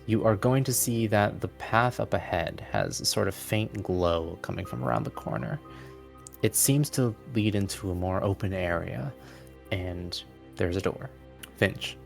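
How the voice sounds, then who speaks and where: A man's voice: on the low side, creaky. A man running a tabletop fantasy game as game master, describing scenes in a conversational way. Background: music.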